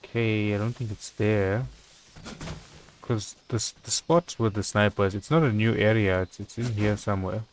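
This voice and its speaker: A man's voice: fairly low pitch, fairly even intonation, normal speed, slight background noise. A man doing live video game commentary as a streamer, casually muttering his thoughts aloud as he plays.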